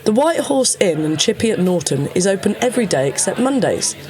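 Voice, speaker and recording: A man's voice: high voice, sing-song, fairly quick, darkish tone. A man reading a scripted radio advertisement as its announcer.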